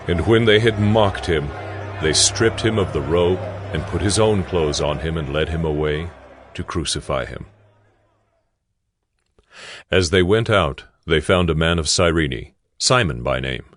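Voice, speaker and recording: A man's voice: extremely low pitch, unhurried, no room sound. A man narrating scripture from a script in a dramatized audio production.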